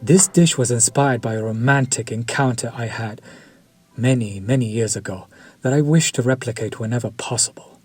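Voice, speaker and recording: An actor playing a courteous, quietly predatory vampire chef in an audio roleplay, polished, formal and flirtatious. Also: male voice, medium pitch, normal speed, husky, faint music behind, close to an everyday voice. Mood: suave. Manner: polished, formal and flirtatious, lingering over words.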